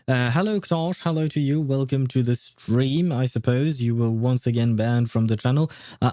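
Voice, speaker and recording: A man hosting a livestream, chatting casually with viewers in a hesitant, halting way. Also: medium pitch, mellow, no echo, clean studio sound. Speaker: livestream host.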